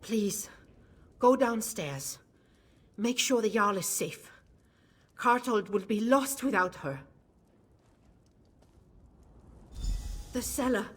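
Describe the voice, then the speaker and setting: An actress playing an exhausted, loyal court mage in a fantasy video game, earnest and dramatic. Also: female voice, a little high, slow pace, pauses often, fairly bright tone, slightly rough, exaggerated voice. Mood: worried, pleading. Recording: faint music behind the voice.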